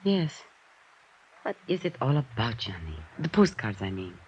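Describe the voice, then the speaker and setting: A woman's voice: a bass voice, sing-song, a little slow, darkish tone, breathy edge, heavily acted. An actress playing an earnest, insistent young woman, a detective's companion, with dramatic 1950s radio delivery. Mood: soft, concerned. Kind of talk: 1950s American radio acting. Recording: dull, faintly noisy vintage radio recording.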